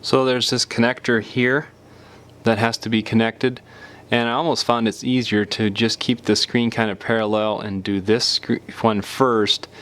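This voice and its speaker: A man narrating a hands-on how-to in an unscripted, casual step-by-step way, sometimes leaving phrases unfinished. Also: on the low side. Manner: casual step-by-step explaining, trailing off mid-phrase. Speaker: repair tutorial presenter.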